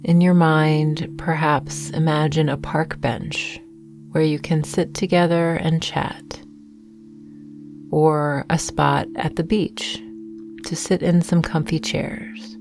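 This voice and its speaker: A woman guiding a meditation with affirmations in a soothing, gentle, unhurried manner, leaving long pauses. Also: deep voice, flat delivery. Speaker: meditation guide. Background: soft meditation music with singing bowls.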